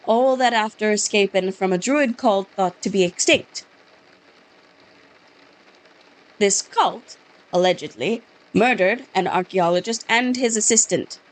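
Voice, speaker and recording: A skeptical Scottish lawman who brushes off every claim with curt scorn, as a woman reads him aloud from a game. The voice sits at a middle pitch for a woman, and the accent is rough and put on. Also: normal speed, close to an everyday voice, low-quality recording. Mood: doubtful. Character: skeptical dismissive male Scottish police officer. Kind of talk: reading game dialogue aloud.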